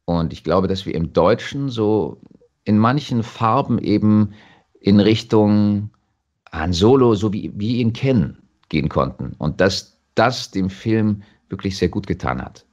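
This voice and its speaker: A man with a German accent talking reflectively as an interview guest, thoughtful and halting as he restarts his phrases. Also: on the low side, animated, darkish tone, muffled recording.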